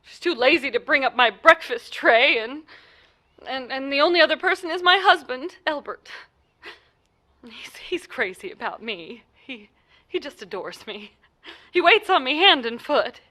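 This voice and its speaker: A woman's voice: high-pitched, expressive, a little slow, pushed and bright, full character voice. A woman playing an anxious, imperious bedridden wife in a 1940s-style radio play, stammering and insistent in an agitated way. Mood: uneasy, dismissive.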